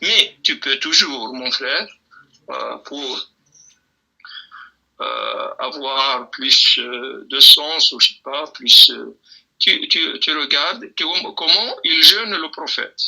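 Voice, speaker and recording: A man explaining a religious question in a relaxed, hesitant way, with many restarts and fillers, sometimes quoting scripture. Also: medium pitch, takes it slowly, vocal fry, highs cut off. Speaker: imam answering a question.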